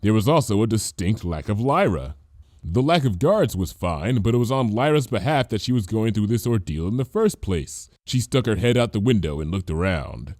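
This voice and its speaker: A man's voice: fairly low pitch, very animated, normal speed, a little mellow, no room sound, studio quality. A man reading a story aloud as narrator, in a scripted storybook style. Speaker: fan fiction reader, narrator.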